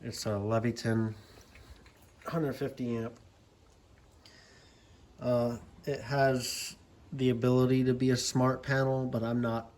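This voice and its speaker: An American man talks slowly through a casual show-and-tell, plainspoken and unscripted. He rambles and halts as he goes, with faint noise behind him on an amateur recording. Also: medium pitch, little pitch movement, darkish tone. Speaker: hobbyist vlogger showing his CB radio setup. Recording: amateur video with background noise.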